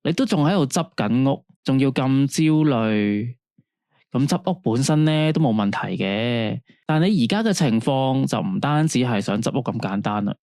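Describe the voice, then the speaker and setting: A man's voice: mid-range voice, expressive, normal speed, darkish tone, dry recording, studio quality, lightly acted. A man with a Hong Kong Cantonese accent playing a late-night radio host who talks a caller through her troubles in a patient, soothing way. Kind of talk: radio drama acting.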